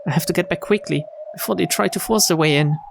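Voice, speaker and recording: A man's voice: fairly high pitch, fast talker, vocal fry, noisy background. A man streaming a game, reading its narration aloud expressively with wry, casual commentary. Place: game stream with music behind.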